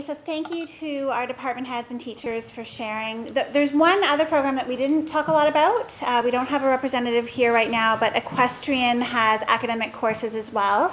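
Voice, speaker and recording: A woman's voice: fairly high pitch, a little hiss or hum, low-quality recording. A woman leading a school gathering, explaining course offerings to students.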